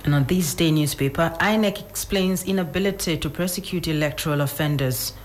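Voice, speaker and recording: A female Nigerian newscaster reads newspaper headlines. Her voice is notably low for a woman, with slight noise in the background. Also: brisk, rough recording. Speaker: news presenter.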